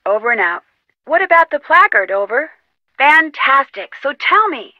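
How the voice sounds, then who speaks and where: A young American woman playing a plucky, determined detective in an adventure game, speaking earnestly and clearly. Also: high voice, big pitch swings, moderate pace, dry recording, dull audio. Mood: brisk, businesslike. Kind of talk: scripted game dialogue.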